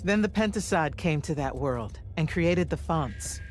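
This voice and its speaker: Authoritative, grave battlemage leader. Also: female voice, low-pitched, lively intonation, a little slow, darkish tone, slight background noise. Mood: grave, explanatory. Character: authoritative senior commander mage explaining ancient lore. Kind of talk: video game cutscene acting.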